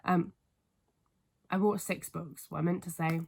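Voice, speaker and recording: A woman's voice: fairly low pitch, fairly even intonation, unhurried, creaky, darkish tone, husky. A woman in her twenties with a cold, her voice stuffy and sniffly, chatting casually and rambling to camera about books, with lots of fillers and apologies. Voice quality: stuffy, congested. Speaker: book vlogger. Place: family home bedroom, indoors.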